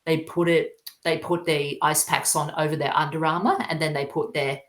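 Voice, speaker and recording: A woman chatting casually as a podcast guest, halting and often repeating her phrases. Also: low-pitched, level tone. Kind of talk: casual chat. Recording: roomy, echoey sound.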